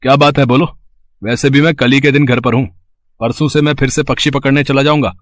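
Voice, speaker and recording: Male actor playing a hot-headed cartoon village husband: blunt, grudging, gruff, dry recording. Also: medium pitch, fairly even intonation, fairly quick, no room sound, lightly acted. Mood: resigned. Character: gruff, short-tempered village bird-catcher husband. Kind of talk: Hindi moral-story cartoon voice acting.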